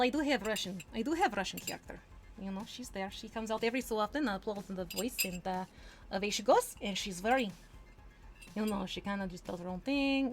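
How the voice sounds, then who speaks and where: A woman from Texas chatting casually on a livestream as she paints miniatures, rambling with asides and little laughs. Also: mid-range voice. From Texas, USA. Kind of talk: casual chat while working.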